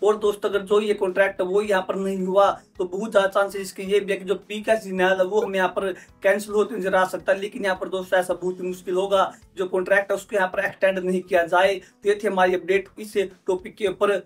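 A man's voice: high-pitched, flat delivery, fast, hardly any pauses, faint music behind. A man, presenting a sports update to camera and addressing viewers as friends. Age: 20s.

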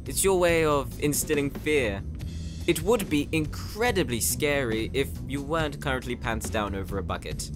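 A man's voice: medium pitch, expressive, normal speed, over music, natural voice. A man voicing a smug, playful paladin in a comedic fantasy audio drama, needling and theatrical. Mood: teasing, smug. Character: teasing, smug, playful male paladin companion.

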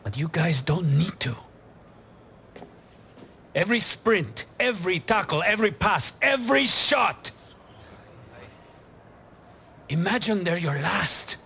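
An intense, regret-worn football coach in his middle years, from a video game cutscene. His dramatic delivery moves widely up and down, with weighty pauses. The sound is slightly dull, with clear noise behind him. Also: high voice, sing-song, full character voice. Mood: fired up. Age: middle-aged. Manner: dramatic, measured build-up with emphatic pauses. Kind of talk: cinematic game acting, motivational speech.

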